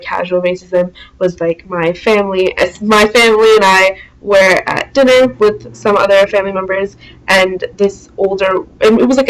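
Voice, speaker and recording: A woman's voice: mid-range voice, poor audio. A young woman from the United States, the daughter of immigrants, speaking candidly with frequent fillers in an interview. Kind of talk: documentary interview.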